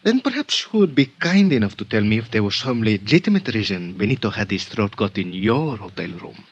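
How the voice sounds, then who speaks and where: A man playing a polite but firm, suspicious Mexican police lieutenant in 1950s radio drama, with a Mexican accent and formal, measured delivery. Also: lowish voice, sing-song. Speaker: actor in a radio detective serial. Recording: dull vintage radio recording.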